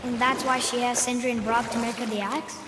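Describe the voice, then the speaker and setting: A man's voice: very high voice, little pitch movement, a little fast. A young male actor playing a sullen, curious boy in a video game, with an informal, snarky way of talking. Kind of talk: video game cinematic acting. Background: ambient noise.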